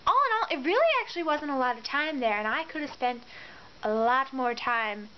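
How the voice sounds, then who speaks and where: A young woman recaps her travels in a chatty, repetitive vlog style. Her voice sits high and bright. The sound is slightly muffled, with a faint hiss underneath. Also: expressive, a little fast. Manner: chatty, repeats words for emphasis. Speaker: vlogger. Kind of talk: casual travel vlog narration.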